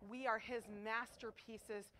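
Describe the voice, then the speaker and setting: Brief: middle-aged woman, chapel speaker, emphatic sermon, slightly high voice, faint hiss, far mic. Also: unhurried. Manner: emphatic, animated preaching with rhetorical questions. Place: school chapel auditorium with stage.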